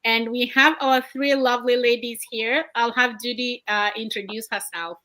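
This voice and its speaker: Woman host from Kenya, upbeat livestream presenter, bright and fairly high. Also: fairly even intonation, normal speed. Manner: upbeat, welcoming, hands over between guests. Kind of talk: motivational livestream talk. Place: home, indoors at night.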